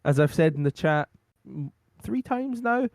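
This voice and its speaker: A man co-hosting a podcast and chatting casually, rambling with lots of ums, his voice going hoarse. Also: fairly high pitch, sing-song, measured pace, darkish tone, no echo, clean studio sound. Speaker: podcast co-host. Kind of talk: casual wrestling recap chat.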